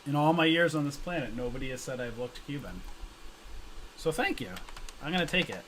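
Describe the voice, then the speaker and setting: Guy hosting a trading livestream, chatty and jokey, kinda higher voice, faint hum behind him. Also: lively intonation, brisk, a bit bright, poor audio. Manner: loose, joking, slangy, reacts to viewer comments. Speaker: stock trading livestream host. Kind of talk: casual livestream chat reading comments.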